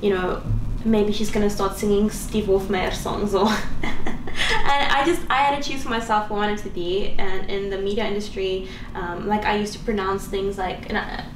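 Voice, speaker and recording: A young woman with a South African accent, a native Afrikaans speaker, chatting in an interview with many pauses, fillers and restarts. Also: medium pitch, fairly even intonation. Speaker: guest musician. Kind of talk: casual interview chat. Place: city location in New York. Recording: echoey, distant mic, noisy.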